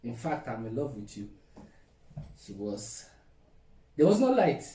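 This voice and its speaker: A man's voice: medium pitch, wildly swooping pitch, unhurried, warm tone, a little hiss or hum. A middle-aged man with a Nigerian accent, playfully teasing as he tells stories in casual chat. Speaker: co-host of a couple's relationship vlog. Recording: echoey room, distant microphone.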